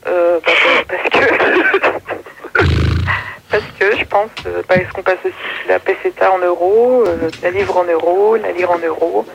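A woman's voice: lowish voice, steady tone, a little slow, vocal fry, background noise. A woman chatting casually as a caller in a radio debate, over a phone line.

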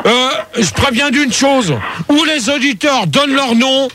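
A man with a high-pitched voice moderates a live radio phone-in. He speaks haltingly, loses his thread and scolds in an exasperated way. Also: pushed and bright, faint music behind. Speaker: radio host of a phone-in debate.

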